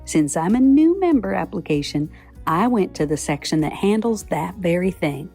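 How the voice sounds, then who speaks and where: A chatty female application paper tells her own story, as a woman puts her on. She speaks in a cheerful, folksy way, full of friendly asides. The tone is mellow and warm. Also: lowish voice, sing-song, normal speed, breathy edge, soft background music, heavily acted. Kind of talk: scripted podcast narration.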